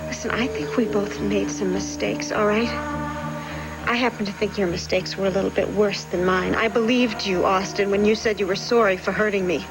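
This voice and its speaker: A woman's voice: mid-range voice, fairly even intonation, normal speed, over music, close to an everyday voice, poor audio. An actress playing an anxious, determined expectant mother in a television soap, earnest and hesitant, often pleading. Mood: defensive, pleading. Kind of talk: television soap drama acting.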